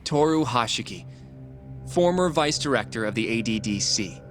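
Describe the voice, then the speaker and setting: A man playing an earnest office assistant in a game drama, with a mid-pitched voice. He lays things out precisely and matter-of-factly over faint music. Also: expressive, normal speed, fairly bright tone, natural voice. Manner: matter-of-fact, orderly. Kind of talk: naturalistic video game cutscene acting. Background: faint background music.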